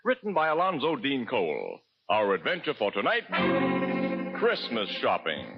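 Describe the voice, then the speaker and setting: A man's voice: mid-range voice, huge pitch swings, normal speed, over loud music. A man announcing a scripted 1940s radio show in a formal, emphatic manner. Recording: muffled old-time radio recording.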